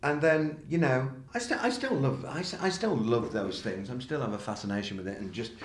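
A middle-aged man from Manchester reflecting in an interview, halting and often repeating himself. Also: mid-range voice, slight background noise. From Manchester, England. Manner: halting, self-repeating delivery. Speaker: interviewee, designer. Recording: echoey room.

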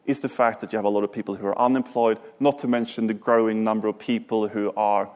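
Measured Swedish-raised male speaker. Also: lowish voice, steady tone, a little mellow. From Sweden. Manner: measured, thoughtful, with hesitant restarts when answering. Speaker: author and speaker. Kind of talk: lecture, then panel discussion.

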